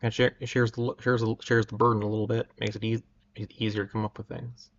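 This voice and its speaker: A man hosting a livestream, chatting casually with viewers in a halting, often stammering way. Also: fairly low pitch, flat delivery, lo-fi sound. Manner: halting, frequently stammering over words. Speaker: livestream host.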